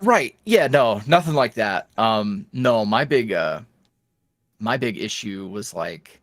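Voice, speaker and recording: Male guest, animated pitch, chatty and rambling, dry close sound. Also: takes it slowly, no room sound. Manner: rambling, lots of fillers and restarts. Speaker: guest, ASMR roleplay creator. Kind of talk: casual interview chat.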